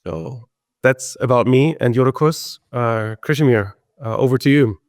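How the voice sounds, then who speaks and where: A man's voice: mid-range voice, moderate pace, no room sound, clean studio sound. A man hosting an online webinar and introducing himself and his company, speaking with frequent ums and uhs. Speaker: webinar host, company co-founder. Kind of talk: hesitant presentation with many fillers.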